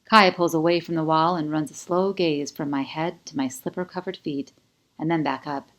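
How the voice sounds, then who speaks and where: Low-pitched woman narrating an audiobook. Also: fairly even intonation, moderate pace, fairly bright tone. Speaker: author narrating own audiobook. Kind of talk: first-person scripted audiobook narration.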